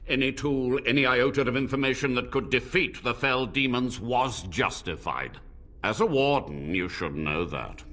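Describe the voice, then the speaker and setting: An actor playing an arrogant old mage in a video game, speaking in a haughty, lofty, dramatic way. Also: male voice, medium pitch, normal speed, fairly bright tone, natural voice. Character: arrogant old human mage, cold and scornful.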